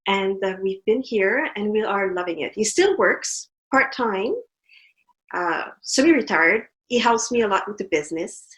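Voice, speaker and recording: A woman's voice: medium pitch, lively intonation, moderate pace, poor audio. A woman from Montreal whose first language is French, speaking English with a French-Canadian accent as a guest on an interview, telling stories in a chatty way. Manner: chatty, warm storytelling with fillers. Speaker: guest artist. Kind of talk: casual interview chat.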